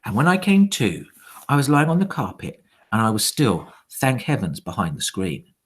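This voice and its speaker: Animated man reading a children's story theatrically. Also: medium pitch, normal speed, slightly rough. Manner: theatrical, dropping to hushed suspense. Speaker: teacher reading a story aloud. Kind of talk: dramatic children's storybook narration.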